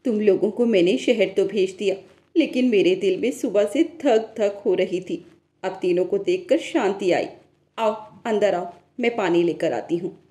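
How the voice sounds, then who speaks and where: A woman's voice: mid-range voice, unhurried, mellow, close to an everyday voice. A woman voicing a caring, encouraging village mother of three daughters in a cartoon story.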